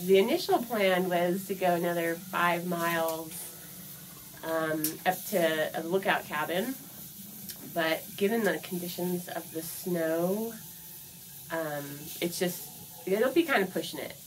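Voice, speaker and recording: A woman's voice: on the low side, slow. An American woman in her thirties chatting casually for an outdoor vlog, in a giggly, upbeat manner. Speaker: vlogger. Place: log hut and snowy woods. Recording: noisy camera audio with ambient sounds.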